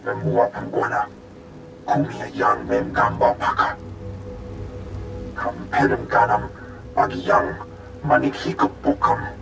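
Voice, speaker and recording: Sci-fi game alien analyst: guttural, heavy gravel, invented tongue, echoey. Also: male voice, mid-range voice, level tone, slow pace, pauses often, music in the background. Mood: matter-of-fact. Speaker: intelligence analyst. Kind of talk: video game character acting.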